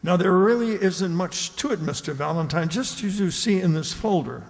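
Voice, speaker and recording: Male actor as easygoing government agent, fairly high voice, matter-of-fact, radio play, long reverb. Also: lively intonation, normal speed. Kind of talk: old-time radio drama acting.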